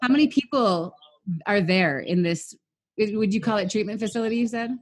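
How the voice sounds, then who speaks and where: Female, 30s, chatty upbeat interviewer, mid pitch. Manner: chatty, upbeat, quick follow-up questions. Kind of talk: casual interview.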